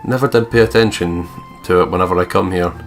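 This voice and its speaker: A man's voice: deep voice, fast. A man doing let's play narration and banter, jokey and sweary, with theatrical outbursts over game music.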